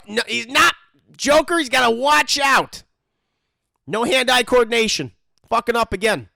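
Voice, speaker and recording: A man hosts a casual, joking commentary on a cartoon episode, his fairly high voice leaping all over the place with a gravelly rasp. His tone is bright and tense, and the close mic keeps the room dry. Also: no room sound. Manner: joking, animated, mock-voices characters. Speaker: commentary host.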